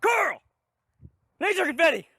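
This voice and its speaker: A young man clowning through a silly outdoor phone video, jumping between a mock-grave low voice and sudden yelps. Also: big pitch swings, unhurried, gravelly, pushed and bright, dry recording. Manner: jokey, swings from low mock-gravity to yelps. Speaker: vlogger. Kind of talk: goofy improvised skit. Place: outdoors on an overgrown road. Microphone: phone camera.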